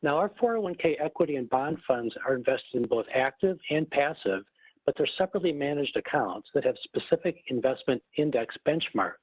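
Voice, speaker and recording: A man giving a prepared, formal webinar presentation in a measured, explanatory manner, as a corporate executive. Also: fairly high pitch, expressive, breathy edge. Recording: muffled phone line, roomy echo.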